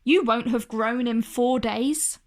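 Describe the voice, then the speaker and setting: A woman's voice: on the high side, slow. A woman acting a bigger male monkey in a children's storybook, a sensible, dismissive friend with a matter-of-fact manner.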